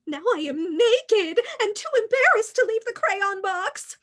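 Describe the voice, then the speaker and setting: A woman voicing a shy, embarrassed peach crayon in a comic storybook reading, hushed and confiding. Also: extremely high pitch, expressive, measured pace, heavily acted.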